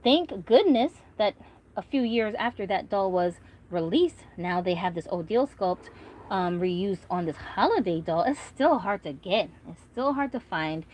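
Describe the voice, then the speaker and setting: A woman's voice: mid-range voice, expressive, measured pace, a little mellow, rough recording. Chatty woman vlogger, rambling and friendly. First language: Korean. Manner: chatty, rambling, frequent fillers. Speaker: doll collector vlogger. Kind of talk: casual livestream chat reading comments.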